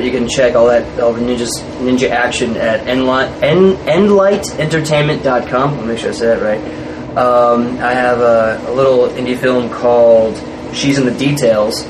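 A man's voice: medium pitch, moderate pace. A man, an actor being interviewed, chatting casually in a rambling, hesitant way. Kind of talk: casual chat. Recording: noisy room with air-conditioning hum.

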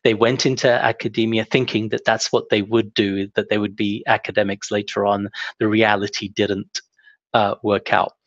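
A young adult man speaking as an interview guest, relaxed and self-deprecating with lots of fillers. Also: lowish voice, normal speed, dry recording. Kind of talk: casual interview talk. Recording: dull, dry lo-fi sound.

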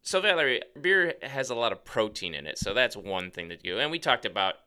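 Man, gravelly, bright tone, very lively pitch, podcast co-host, casual chat. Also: a little fast. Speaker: podcast co-host, cosmetic scientist.

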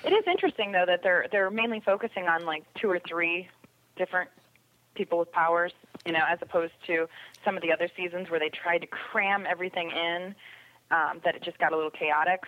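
A woman's voice: lowish voice, a little fast, no room sound. A woman chatting casually as a podcast panelist over a remote call. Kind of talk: casual chat about TV shows. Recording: dull lo-fi remote call audio.

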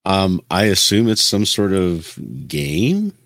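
A man's voice: low-pitched, big pitch swings, takes it slowly, background noise. A man chatting casually as a podcast co-host, musing aloud and asking questions. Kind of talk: casual chat.

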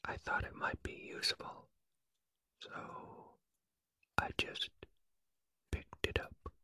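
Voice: sharp tone, no room sound. A whispering reader doing a puzzled teddy bear. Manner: mild, slow-witted, innocent.

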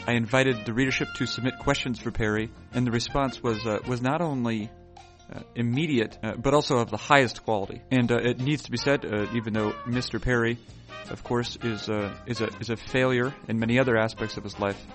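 A man hosting a podcast, talking in a wry, halting way full of fillers, sounding sleepy early in the morning in a hotel room. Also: medium pitch, fairly even intonation, music in the background. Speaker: podcast host. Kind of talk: rambling podcast intro and chat.

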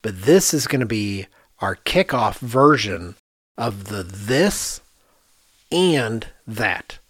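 A man's voice: fairly low pitch, big pitch swings, takes it slowly, fairly bright tone, no echo. Middle aged guy hosting a podcast, casual and candid, really emphatic, dry close mic. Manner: candid, emphatic, repeats phrases for effect. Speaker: podcast host, architect.

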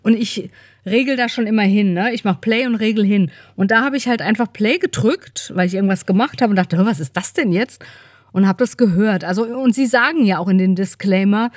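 A woman's voice: mid-range voice, a little fast, no room sound, studio quality. Slightly congested woman, casual podcast co-host.

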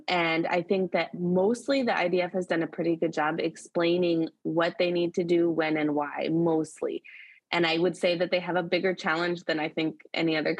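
A woman's voice: on the low side, dry recording. A woman guest, a social media and PR expert, speaking confidently and with emphasis in a podcast conversation. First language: English.

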